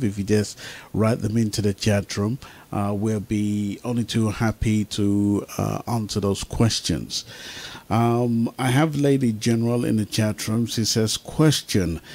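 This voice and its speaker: A man's voice: lowish voice, a little mellow. A man presenting an informal radio talk show as its host, speaking to listeners.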